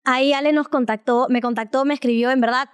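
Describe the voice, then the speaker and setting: Young woman, chatty podcast co-host; high, smooth voice, laughs as she tells stories. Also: steady tone, fast talker. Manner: chatty storyteller, laughs often. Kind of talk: casual podcast chat.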